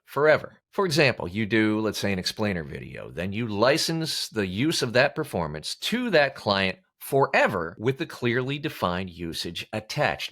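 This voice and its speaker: Animated low-pitched American man presenting. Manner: emphatic, punchy delivery with pointed pauses. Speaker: voice actor teaching about auditions. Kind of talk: scripted instructional video narration.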